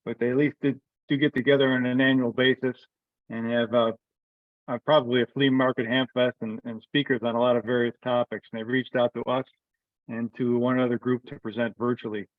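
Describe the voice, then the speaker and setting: A man's voice: medium pitch, flat delivery, brisk, no echo. Man on a tinny, phone-like line. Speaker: committee member giving a report. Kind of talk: informal meeting update. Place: remote teleconference. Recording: phone-like, narrow radio-style sound.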